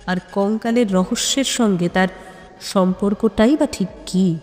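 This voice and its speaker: A woman voicing an intense, brooding female amateur detective who speaks in a deliberate, questioning way in an audio story. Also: mid-range voice, a little mellow, lightly acted. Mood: pensive, suspenseful. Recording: long cavernous reverb on the voice.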